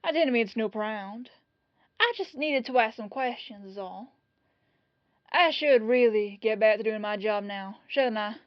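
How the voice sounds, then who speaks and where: A woman's voice: fairly high pitch, measured pace, no echo, muffled recording, natural voice. A woman playing a humble, apologetic police detective in an ASMR roleplay, chatty and rambling in a disarmingly casual way. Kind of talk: ASMR roleplay audio drama.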